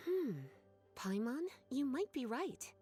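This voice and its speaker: A poised, bookish librarian in a video game. The voice is fairly high and melodically varied, with a playful, flirtatious, measured delivery. Light music runs behind it. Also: female voice, big pitch swings, dark tone, heavily acted. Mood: explanatory. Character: poised, teasing, bookish adult woman librarian. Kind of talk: video game cutscene voice acting.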